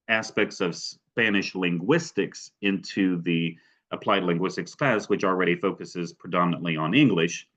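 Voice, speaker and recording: A man's voice: low-pitched, fairly bright tone, highs cut off. A man from West Virginia giving an academic webinar talk, hedging often with fillers like "sort of" and "kind of" and restarting his sentences. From West Virginia, USA. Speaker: presenter.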